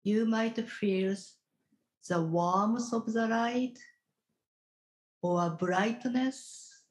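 A woman leading a guided meditation as a teacher, instructing gently in short, halting phrases with long pauses. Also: a little high, poor audio.

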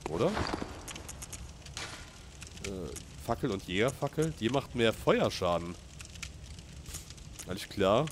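A man with a fairly low voice talks his way through a video game, loose and chatty, turning to his viewers now and then. He has a slight cold and sniffles a little. A loud hiss of noise sits under the recording. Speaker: let's play gamer. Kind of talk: casual gameplay commentary.

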